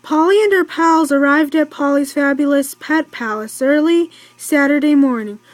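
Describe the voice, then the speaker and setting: A woman's voice: high-pitched, normal speed, no room sound. A young woman reading a children's storybook aloud, stumbling and repeating words as she goes. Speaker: amateur storybook reader.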